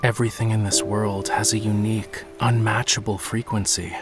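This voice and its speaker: A man acting as a young male painter in a video game, wry and nonchalant. His voice sits fairly low and has a teasing, whimsical manner. Music plays clearly underneath. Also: little pitch movement, moderate pace, close to an everyday voice. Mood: coaxing, instructive. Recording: music behind the voice.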